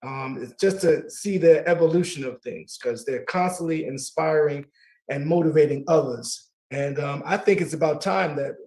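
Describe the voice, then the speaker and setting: A middle-aged man hosting a casual talk radio show, warm and laughing easily, often repeating his words. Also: fairly high pitch, moderate pace, low-quality recording.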